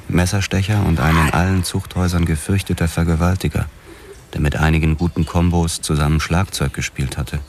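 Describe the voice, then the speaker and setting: A hardboiled detective telling his own story. The voice sits extremely low. It is a brooding, weary noir narration made for a radio drama. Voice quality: very deep voice.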